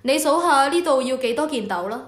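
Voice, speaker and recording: A woman's voice: high-pitched, animated, a little fast, echoey room. A woman with a Hong Kong Cantonese accent playing an instructive senior temple official in an educational radio drama. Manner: authoritative, instructive. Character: official in charge of the Temple of Heaven, instructive superior. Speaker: voice actor.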